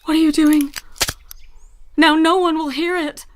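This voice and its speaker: A woman's voice: high voice, little pitch movement, unhurried, slight background noise. A woman playing a blunt, ambitious young widowed painter of the 1860s in a naturalistic audio drama. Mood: anxious. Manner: blunt, clipped, self-absorbed.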